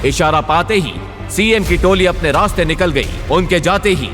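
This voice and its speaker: A man's voice: sits high, normal speed, a bit bright, long reverb tail, soft background music. A man delivering dramatic scripted story narration.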